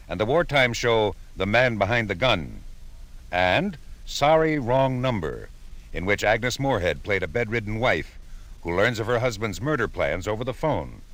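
A man's voice: lowish voice, fairly bright tone. A man hosting a retrospective, narrating in a wry, reminiscing style. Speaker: narrator and host.

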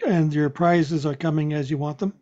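An older man presenting a radio show in an unscripted, chatty way, with a husky, worn voice. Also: on the high side, fairly even intonation, normal speed, dark tone. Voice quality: husky, unreliable voice. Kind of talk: unscripted sign-off and chat. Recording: dull, muffled audio.

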